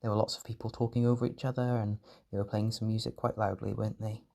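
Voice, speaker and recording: A man performing a gentle, doting caretaker in an intimate comfort roleplay, speaking softly and reassuringly with tender pet names. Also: on the low side, level tone, quick pace, gravelly. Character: gentle, doting male caretaker soothing an overwhelmed partner.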